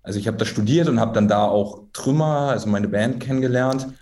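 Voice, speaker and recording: Relaxed Berlin-born drummer in his thirties. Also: fairly low pitch, brisk. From Berlin, Germany. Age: 30s. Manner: relaxed storyteller, hedging, self-deprecating laughs. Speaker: drummer, podcast guest. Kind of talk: casual interview chat.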